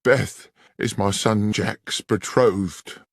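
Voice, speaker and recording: A man's voice: fairly low pitch, very slow, creaky, dry recording, clean studio sound, pitched above the performer's own voice, exaggerated voice. A man reading aloud, voicing an agitated elderly innkeeper defending his family. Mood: alarmed. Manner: agitated, indignant. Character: elderly male innkeeper, protective, hot-tempered.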